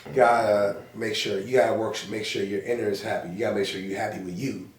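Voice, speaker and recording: A hoarse man chatting on a podcast as co-host, rambling, repeating himself and cursing freely. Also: fairly low pitch, steady tone, quick pace, roomy sound, recorded from a distance. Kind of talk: casual podcast chat.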